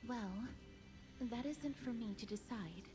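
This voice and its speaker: A woman voicing a solemn young mortician, a shadow of death, in a video game with polite, measured formality. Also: on the high side, expressive. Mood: polite, reserved. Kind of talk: dramatic video game voice acting. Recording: loud music underneath. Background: game music.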